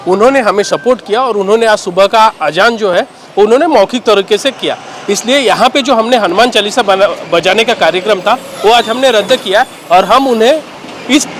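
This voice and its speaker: A man's voice: sits high, fairly bright tone. A man, a party worker, giving a firm and orderly explanation to a news interviewer. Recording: outdoor street noise, passing vehicles.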